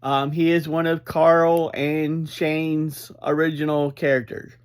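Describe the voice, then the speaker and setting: A man giving an informal, unscripted recap as a reviewer, speaking in halting, deliberate phrases with frequent breaks. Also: on the high side, flat delivery, clean tone. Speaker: reviewer and host of an episode review series.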